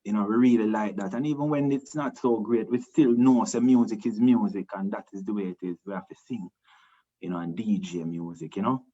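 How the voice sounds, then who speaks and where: A man from Jamaica with a Jamaican accent, a reggae singer answering interview questions in a relaxed, rambling way, full of restarts and "you know". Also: fairly low pitch, brisk, muffled recording. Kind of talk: casual interview talk.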